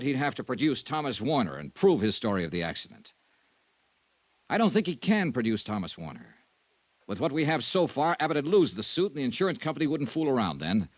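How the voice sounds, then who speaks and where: An American actor playing a tough, level-headed insurance investigator in vintage radio noir style, clipped and plain-spoken. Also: male voice, mid-range voice, no echo. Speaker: lead actor and narrator. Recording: dull, dry vintage radio recording.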